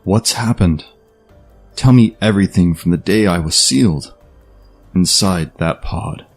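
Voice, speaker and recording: Man acting a husky, slow, disoriented war leader. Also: low voice. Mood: confused. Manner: halting, strained. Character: disoriented male war leader, confused, desperate, intense. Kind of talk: science fiction audio drama acting. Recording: music under the voice.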